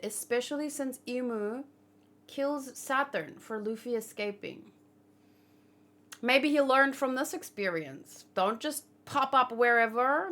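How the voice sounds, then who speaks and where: Higher-voiced woman chatting casually. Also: a bit bright. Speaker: livestream host. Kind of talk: casual chat, manga discussion and commentary.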